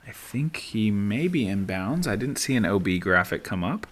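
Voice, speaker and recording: A middle-aged man giving relaxed play-by-play commentary as a voice-over, easygoing and dry, thinking aloud as he goes. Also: on the low side, moderate pace, slight background noise. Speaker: sports commentator. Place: voice-over, not at the course.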